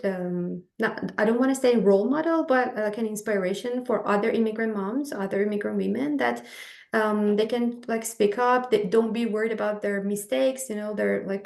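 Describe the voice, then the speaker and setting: Gravelly-voiced woman. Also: medium pitch, darkish tone, echoey room. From Iran. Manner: hesitant, many fillers, earnest. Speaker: panel guest, entrepreneur. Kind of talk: casual conversation.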